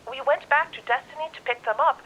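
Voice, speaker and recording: A woman playing a calm, formal spaceship crew companion in a sci-fi audio drama, speaking precisely through a radio communicator effect. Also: sits high, quick pace, lo-fi sound, a little hiss or hum. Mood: calm, concerned.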